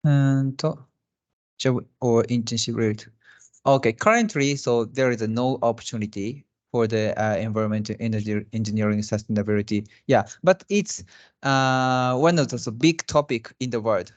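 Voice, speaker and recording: A man on a video call answering webinar questions in halting, filler-heavy non-native English. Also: mid-range voice. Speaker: company representative presenting jobs in Japan.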